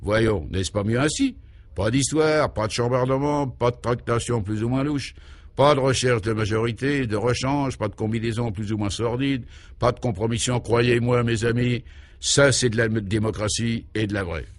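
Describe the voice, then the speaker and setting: A man's voice: medium pitch, normal speed, natural voice. A man voicing a self-assured local who proudly explains an absurd government in a pompous, emphatic way, in a comic radio serial. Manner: pompous, mock-official, emphatic.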